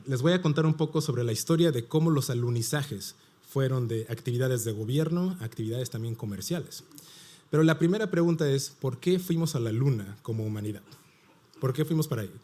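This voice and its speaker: A man's voice: mid-range voice, warm tone. A middle-aged man from Mexico with a Mexican Spanish accent, giving a conference panel presentation in an explanatory way and asking and answering his own questions. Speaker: panel speaker.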